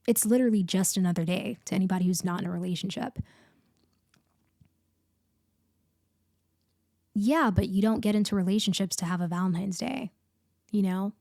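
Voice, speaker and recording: A woman's voice: mid-range voice, darkish tone, dry recording. Woman acting a casual, caring best friend, dry close sound. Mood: teasing, blunt. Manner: casual, conversational, hesitant pauses. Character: blunt but caring young female best friend, comforting. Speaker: audio roleplay performer. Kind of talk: intimate roleplay ASMR, casual one-sided conversation.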